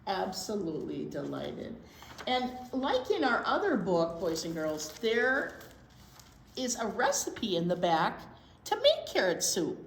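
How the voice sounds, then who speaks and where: A woman hosting a children's storytime, reading aloud expressively with drawn-out words and asides to her young listeners. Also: mid-range voice, wildly swooping pitch. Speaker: storytime host, teacher. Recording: roomy echo, clear background noise.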